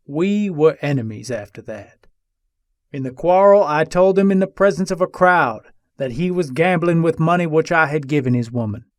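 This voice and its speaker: A man's voice: a little high, dry recording, clean studio sound, close to an everyday voice. A man playing an embattled Old West gunslinger who pleads his case from jail in formal, old-fashioned storytelling, in a dramatic reading.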